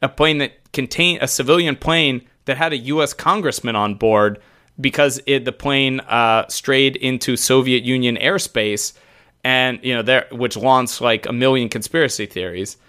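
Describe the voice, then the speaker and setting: Man, podcast co-host, casual, rambling, laughs a lot, mid-pitched voice. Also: normal speed, bright, forceful tone, smooth.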